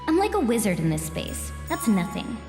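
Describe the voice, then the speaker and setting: A woman's voice: medium pitch, very animated, normal speed. A woman playing a composed, condescending game guide who is secretly a villain, in an anime game dub. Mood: cool, challenging. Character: game guide girl revealed as smug, scheming villain. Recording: dramatic background music.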